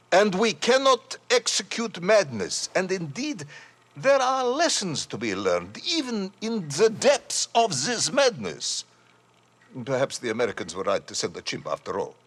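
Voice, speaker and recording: An actor playing a stern, sardonic Soviet general in a game, an imperious interrogator. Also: male voice, sits high, a bit bright, slightly rough, exaggerated voice. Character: stern, skeptical, gruffly indulgent Soviet general.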